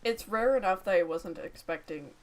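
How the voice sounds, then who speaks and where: A gamer chatting casually and rambling while playing, talking to themselves and to others on a call. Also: medium pitch. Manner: rambling, chatty self-talk with quick asides to a call. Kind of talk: casual gameplay chat.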